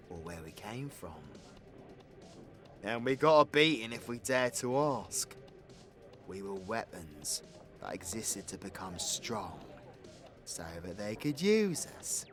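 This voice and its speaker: A man's voice: mid-range voice, fairly bright tone. A British man voicing a cocky, foul-mouthed supervillain in an audio roleplay, with a crude, theatrical and menacing manner. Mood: wistful, subdued. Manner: swaggering, crude, theatrical, dropping into menacing whispers. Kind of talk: ASMR audio roleplay acting.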